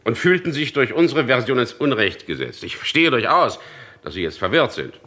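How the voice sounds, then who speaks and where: An actor playing a stern, insistent police chief inspector in a radio crime drama, speaking formally and pointedly. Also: male voice, mid-range voice, big pitch swings, brisk, pushed and bright. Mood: stern, explaining.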